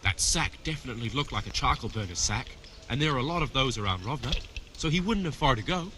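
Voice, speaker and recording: Earnest villager, medieval game character, swooping expressive pitch, humble and sorrowful, faint background noise. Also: male voice, fast talker. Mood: wary, confiding. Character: robbed, grieving village man, simple and grateful. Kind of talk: video game dialogue acting.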